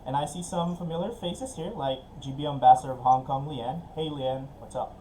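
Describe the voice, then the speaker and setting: A man's voice: medium pitch, brisk, dark tone, a little hiss or hum, low-quality recording. A man hosting an online business webinar over a video call, upbeat and chatty, with easy laughs and fillers. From Philippines. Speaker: webinar host. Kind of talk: casual online meeting hosting.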